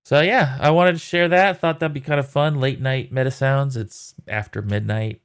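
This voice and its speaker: A man giving a casual technical tutorial as an audio developer, talking in a halting, thinking-aloud way. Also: mid-range voice, clean tone. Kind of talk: casual technical screen walkthrough.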